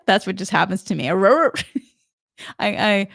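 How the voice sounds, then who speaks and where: A woman's voice: medium pitch, very animated, takes it slowly, gravelly, fairly bright tone, no room sound. A woman from Massachusetts talks through a spiritual energy forecast, her faint Boston accent showing through. She is earnest and meandering, circling back and restarting when a word trips her up. The recording is dry and close. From Massachusetts, New England. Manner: earnest, rambling with restarts and stumbles. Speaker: spiritual channel host.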